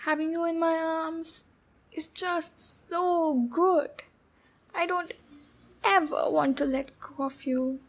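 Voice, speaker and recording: A woman playing a shy, lonely, obsessive demon girl in love, speaking slowly and intimately in an ASMR roleplay with a breathy, halting delivery. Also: very high voice, fairly even intonation, exaggerated voice. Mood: tender, clingy. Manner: slow, breathy, halting, with soft giggles.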